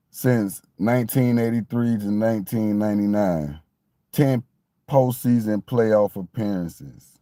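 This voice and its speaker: A man's voice: mid-range voice, dark tone, no room sound, clean studio sound. A man in his late forties from Miami, talking casually and repetitively about his football team. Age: 40s. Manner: repetitive, meandering, conversational. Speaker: sports fan commentator. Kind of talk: casual sports talk monologue.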